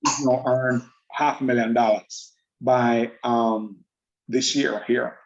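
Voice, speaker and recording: Man, middle pitch, upbeat, rambling, muffled call audio. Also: steady tone, slow, background noise. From Jamaica. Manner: upbeat, laughs often, rambling restarts. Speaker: trading presenter. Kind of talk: online group call presentation.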